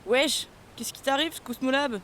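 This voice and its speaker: A woman's voice: high-pitched, moderate pace, a bit bright, a little hiss or hum. A woman playing a brash, mocking, foul-mouthed young space traveler in a French audio drama, slangy and abrasive.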